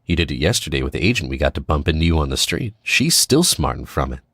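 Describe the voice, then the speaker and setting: A man playing a cocky, needling American spy in an audio drama, casual and clipped, with quiet menace. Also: very deep voice, sing-song, fast, husky, dry recording, studio quality, heavily acted. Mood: cocky, teasing.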